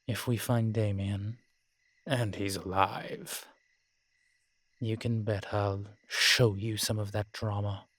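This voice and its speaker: A man playing a world-weary, morose male vampire in a roleplay audio drama, speaking in a measured, formal, brooding manner with sighs and pauses. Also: lowish voice, flat delivery, a bit bright, no echo. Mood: darkly wry. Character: weary old male vampire, morose realist, formerly cruel.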